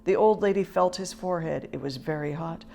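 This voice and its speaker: A woman storyteller reading a children's storybook expressively, in a measured, articulate way. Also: low voice, gravelly, dark tone.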